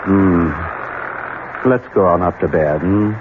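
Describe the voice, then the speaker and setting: An actor playing a tense, brooding master of the house and husband in a 1970s radio drama, curt and edgy. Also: male voice, low voice, slow, phone-quality sound. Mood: uneasy, weary. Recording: band-limited, noisy old radio recording.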